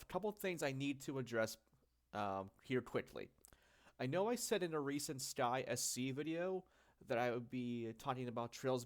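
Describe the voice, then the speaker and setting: A young man from the United States who says he is congested from getting sick, hosting a casual solo talk about a gadget, rambling with lots of fillers and self-corrections. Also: medium pitch. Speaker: channel host.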